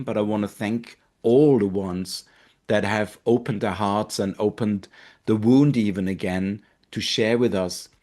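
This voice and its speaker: Low-voiced Austrian man hosting a webinar. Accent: Austrian German. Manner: earnest, gentle, with fillers and pauses. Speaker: webinar host, marketing director. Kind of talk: hosting a live online webinar.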